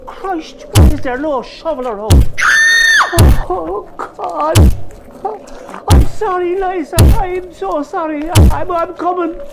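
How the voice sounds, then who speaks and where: A man's voice: very high-pitched, wildly swooping pitch, slow pace, vocal fry, raised voice, very noisy, exaggerated voice. A man playing a grieving older Irish widower in a radio play, wry and rambling, with an Irish accent. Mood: horrified, distraught. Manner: rambling, conversational, emotive.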